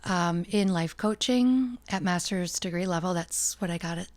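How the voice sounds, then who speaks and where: Earnest American woman, lowish voice. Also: moderate pace, a little mellow. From United States. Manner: reflective, earnest, laughs easily. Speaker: podcast guest. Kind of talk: casual interview talk.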